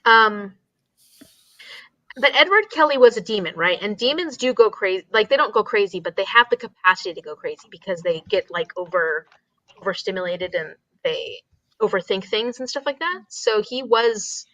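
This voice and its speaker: A woman co-hosting a casual book discussion, explaining things in an animated way and repeating herself for emphasis. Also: mid-range voice, moderate pace, dull audio.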